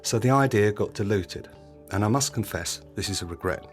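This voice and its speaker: A man's voice: lowish voice, a little fast, faint music behind. A man hosting a podcast, delivering a scripted, confiding monologue in a reflective, plain-spoken way. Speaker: podcast host.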